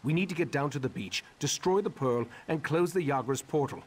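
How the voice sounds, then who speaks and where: A man's voice: a little high, expressive, slight background noise, lightly acted. Man acting a solemn mage leader in echoing hall. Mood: urgent. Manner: measured, formal, deliberate. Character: grave, elderly scholarly male leader of a mage order. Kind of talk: scripted video game character dialogue. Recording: long echoing hall reverb.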